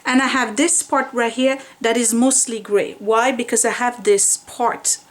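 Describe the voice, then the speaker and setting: A woman in her forties talks to her camera at home by a window, chatty and candid. Her voice sits a bit high, and she rambles a little with fillers as she explains things. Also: fairly even intonation, unhurried. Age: 40s. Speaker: vlogger. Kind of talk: casual home hair-care vlog.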